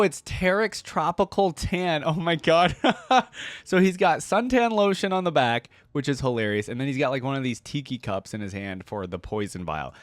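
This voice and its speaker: A man hosting a reaction video, commenting casually in an expressive, animated way with frequent exclamations. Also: a little high, no room sound, clean studio sound.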